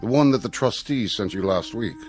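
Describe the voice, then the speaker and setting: An actor playing a courteous, uneasy headmaster in a period TV drama, formal, tactful and hesitant. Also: male voice, fairly low pitch, expressive, fairly quick, a little mellow, faint music behind, natural voice. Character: courteous, uneasy male headmaster breaking news gently. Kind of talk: period television drama acting.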